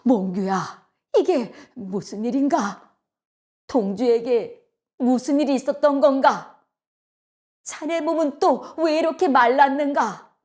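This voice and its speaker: A woman's voice: sits high, slow, pitched above the performer's own voice. A woman voicing a grieving older man who pleads for answers in a children's story. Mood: anguished, shocked. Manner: anguished, pleading questions. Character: distraught older Korean man, grieving, questioning a relative.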